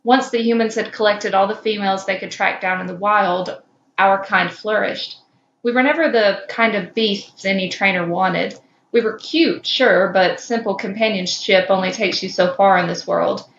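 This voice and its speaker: A small, cute survivor of a cursed kind read by a woman tells a grim fan fiction tale in a measured, somber way. The sound is slightly dull and echoing, with faint noise behind it. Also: medium pitch, fairly bright tone, lightly acted. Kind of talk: scripted first-person fan fiction reading. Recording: muffled, roomy, noisy home recording.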